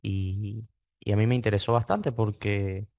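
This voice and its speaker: Young guy from the andes, kinda low voice, chatting casually, hesitant, super dry audio. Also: fairly even intonation, a little slow, darkish tone, dry recording. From Venezuela (Mérida). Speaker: interview guest, trading student. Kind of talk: casual interview testimony.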